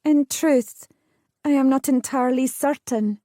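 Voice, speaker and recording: A woman's voice: high-pitched, a little slow, dark tone, no echo, clean studio sound, voice pushed up. A woman voicing a wry, headstrong young noblewoman, speaking in a lilting, old-fashioned way.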